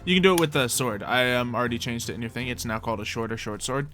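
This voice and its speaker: A man's voice: medium pitch, fast talker, sharp tone, soft background music. A man acting as game master, casually improvising narration and rulings for a tabletop roleplaying game.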